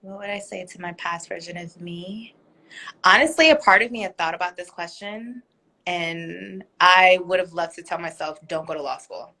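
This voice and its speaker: A woman whose first language is Korean talks candidly as an interview guest, earnest and thoughtful. Her voice sits on the low side but carries a bright, forward edge. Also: normal speed, low-quality recording. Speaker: guest, business owner. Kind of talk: casual interview. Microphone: video call.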